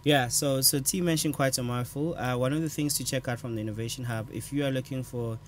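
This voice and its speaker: A man's voice: mid-range voice, steady tone, brisk, slight background noise. A man co-hosting a tech show, pointing viewers to programs in a casual, upbeat way. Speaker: co-host.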